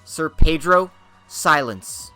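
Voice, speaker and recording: Man voicing a dignified young princess, pitched high. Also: lively intonation, slow, sharp tone, clean tone, faint music behind, heavily acted, poor audio. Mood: commanding. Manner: formal, regal phrasing. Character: young dignified, commanding princess, resolute and gentle.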